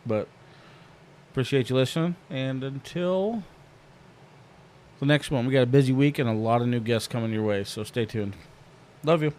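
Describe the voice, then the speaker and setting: Male podcast host, mid-pitched, relaxed and jokey, salty language, faint hiss behind. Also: normal speed. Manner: laid-back, swears freely, jokey. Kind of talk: casual podcast chat.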